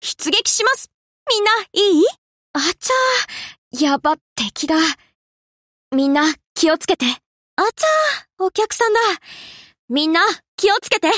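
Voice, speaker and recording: A woman's voice: very high voice, sing-song, a little slow, bright, forceful tone, no echo, clean studio sound, exaggerated voice. A woman playing an easygoing, slangy young navy destroyer girl in an anime game, dutiful but fond of rest. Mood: determined. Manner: casual slangy girlish speech. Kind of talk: Japanese anime game character voice lines.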